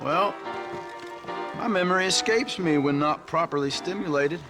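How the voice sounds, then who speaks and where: Actor as a sly Western saloon drunk, loud music. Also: male voice, fairly high pitch, normal speed, lightly acted. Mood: sly, teasing. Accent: American Western. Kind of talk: game character acting.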